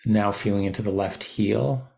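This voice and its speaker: A man leading a guided body scan meditation as a teacher, giving gentle, unhurried instructions with pauses mid-phrase. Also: fairly low pitch, fairly even intonation, creaky.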